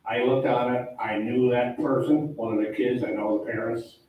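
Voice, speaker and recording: A man, older, a town board official talking loudly and rambling at a public meeting. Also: mid-range voice, fairly even intonation, moderate pace, dull audio. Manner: loud, chatty, rambling. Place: town hall meeting room. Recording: echoey hall, distant mic, faint noise.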